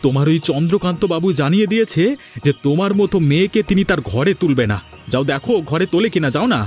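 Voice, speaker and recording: A man's voice: a little high, animated, fast talker, darkish tone, faint music behind, lightly acted. A man voicing an aggrieved, reproachful village farmer and husband in a dramatic cartoon style. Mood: scornful. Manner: dramatic, lamenting. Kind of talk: Bengali cartoon voice acting.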